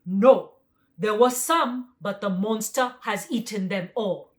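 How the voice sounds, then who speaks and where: A woman voicing a big, grave, respectful tiger in a children's storybook, speaking loudly and formally. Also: mid-range voice, slow, close to an everyday voice. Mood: grave, alarmed. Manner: loud, emphatic, formal. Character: biggest male tiger, grave, respectful forest beast.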